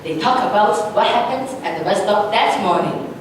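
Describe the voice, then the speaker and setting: A young woman with a mid-pitched voice reads story narration on stage. The recording is distant and very echoey, with faint ambient noise. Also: animated, normal speed.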